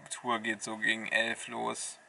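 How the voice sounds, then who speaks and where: A man's voice: fairly low pitch, monotone, unhurried, vocal fry, sharp tone. A man, chatting casually as a travel vlogger into a handheld camera. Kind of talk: casual vlog chat. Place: inside a camper van, on a windy beach. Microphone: handheld camera at arm's length. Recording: slightly distant, noisy camera audio.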